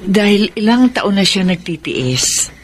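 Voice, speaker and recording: An actor with a Filipino accent playing a sympathetic, worried younger sibling in a radio drama. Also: male voice, sits high, very animated, measured pace. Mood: concerned.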